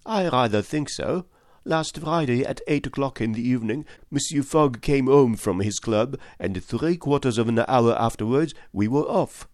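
A man reading an audiobook voices an excitable, voluble French manservant who talks fast and stubbornly. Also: mid-range voice, no room sound, clean studio sound, pitched above the performer's own voice, close to an everyday voice. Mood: confiding.

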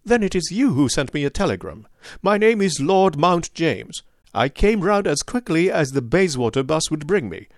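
A man voicing a querulous little old nobleman, miserly and indignant, with a sharp crackling voice and quick, intense, scolding manner. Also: on the high side, very animated, no echo, studio quality, voice pushed up.

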